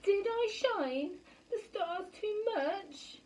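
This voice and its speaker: A woman voicing a small, anxious, innocent alien from a picture book in a drawn-out, pleading way. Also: very high-pitched, animated, a little mellow, far from the mic, pitched above the performer's own voice, exaggerated voice, poor audio. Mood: worried.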